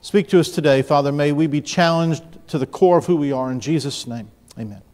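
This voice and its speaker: A man's voice: a little high, fairly quick, darkish tone. A man in his fifties from the New Orleans area, preaching a sermon from the pulpit and telling stories in a conversational way, often restarting and asking the congregation questions. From New Orleans area, Louisiana. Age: 50s. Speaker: pastor. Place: church sanctuary, large room. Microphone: pulpit mic, mid-distance camera. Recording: church hall with long reverb.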